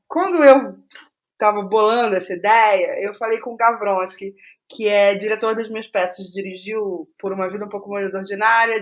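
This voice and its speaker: A Brazilian woman speaking casually as an interview guest, telling stories in an animated way and thinking aloud with rhetorical asides. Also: medium pitch. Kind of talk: casual conversational interview about writing process.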